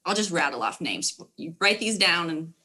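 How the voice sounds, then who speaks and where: Texas-accent woman, bright voice, upbeat guest chatting. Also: on the low side, very animated, normal speed. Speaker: emergency management specialist, guest. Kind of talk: casual interview chat.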